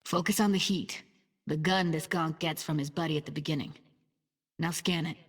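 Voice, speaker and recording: A woman's voice: sits low, steady tone, moderate pace, husky. An actress voicing a streetwise young techie woman in a cyberpunk video game, talking in a casual, slangy, wry way. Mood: casual, instructive. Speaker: video game character actor. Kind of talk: naturalistic game voice acting.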